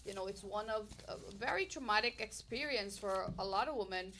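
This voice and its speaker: A woman's voice: mid-range voice, animated, fairly quick, a bit bright, a little hiss or hum. A woman with a Caribbean accent hosting a casual health talk show, greeting viewers and reading their comments in an upbeat way. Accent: Caribbean English.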